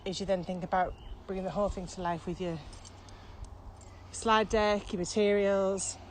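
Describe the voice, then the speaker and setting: A woman's voice: mid-range voice, steady tone, normal speed. A middle-aged woman explains things in a casual, conversational podcast style. She is emphatic and works through each point step by step. She is recorded outdoors on a walk, with faint ambient noise behind her. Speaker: podcast co-host and experienced trainer. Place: outdoors, walking. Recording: outdoor walking recording, clear background noise.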